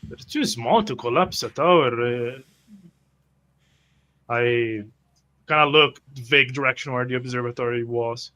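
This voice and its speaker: A man's voice: medium pitch, unhurried, long pauses, sharp tone. A man playing a tabletop roleplay, reasoning hesitantly in character and describing what he does.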